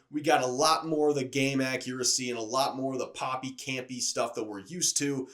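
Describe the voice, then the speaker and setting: A man's voice: medium pitch, a bit bright. A man reviewing movies in a casual, conversational video style, enthusiastic and openly opinionated.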